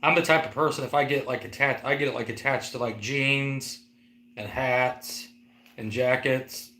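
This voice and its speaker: A man's voice: medium pitch, steady tone, normal speed, bright, forceful tone, roomy sound. Rambling, teasing man in his forties. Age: 40s. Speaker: co-host. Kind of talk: casual live-stream chat.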